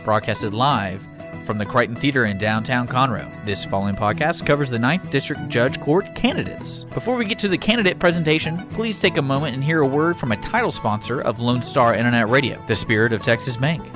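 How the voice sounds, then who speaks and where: Man, radio announcer, scripted intro, mid-pitched, plucked guitar bed. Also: animated, a little fast. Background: guitar music.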